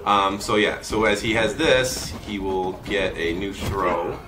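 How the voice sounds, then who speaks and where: A man giving a casual, halting technical demo of a fighting game's moves as a developer. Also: fairly low pitch, music in the background. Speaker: game developer demonstrating character moves. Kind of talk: casual gameplay demo commentary.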